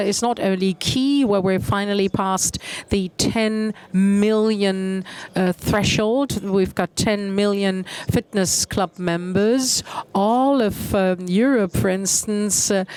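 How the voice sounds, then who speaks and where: A woman interpreting a conference keynote, measured and pausing often with hesitation fillers. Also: mid-range voice, darkish tone, clear, resonant tone.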